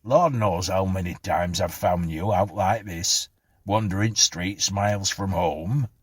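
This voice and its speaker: A man voicing a kindly, weary policeman in a storybook, blunt and gently scolding. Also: low voice, unhurried, breathy edge, dry recording. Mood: concerned. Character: kindly, weary male policeman on night beat.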